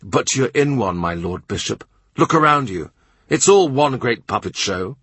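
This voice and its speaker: A man doing a sardonic, gravelly royal minister. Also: medium pitch, animated, normal speed, fairly bright tone, no room sound. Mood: cool, sardonic. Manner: dry, cutting, sardonic. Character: shrewd, sardonic male royal minister, middle-aged. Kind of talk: audiobook character reading.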